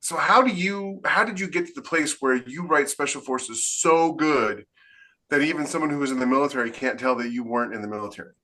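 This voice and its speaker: A man's voice: on the high side, a little fast, sharp tone. A man hosting a podcast, talking casually as he interviews a guest. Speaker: podcast host.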